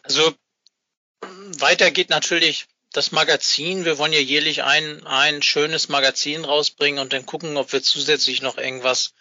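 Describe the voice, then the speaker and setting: A middle-aged man chatting casually as an interview guest, haltingly searching for words and rambling a little. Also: on the high side, bright, forceful tone, dry recording. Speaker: podcast guest, fan club founder. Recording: dull, dry, band-limited remote connection.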